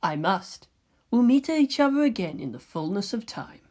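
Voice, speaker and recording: A woman voicing a serene, courteous teenage boy with a soft, measured, polite manner in a fan fiction reading. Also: mid-range voice, big pitch swings. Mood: soft farewell.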